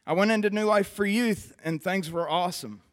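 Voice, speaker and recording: Earnest young West Virginian man. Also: sits high. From West Virginia, USA. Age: 20s. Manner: earnest, conversational, frequent "um" pauses. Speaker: recovery program member giving testimony. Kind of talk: personal testimony to a church audience.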